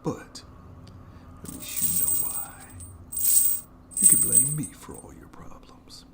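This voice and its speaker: A performer voicing a sadistic, gloating monster in a creaky whisper for horror ASMR, with slow, theatrical, mockingly polite menace. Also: mid-range voice, huge pitch swings, full character voice. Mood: menacing, smug. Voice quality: breathy, heavily creaky whispery voice. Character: gloating sadistic monster jailer lurking under the bed. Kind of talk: scripted horror ASMR roleplay.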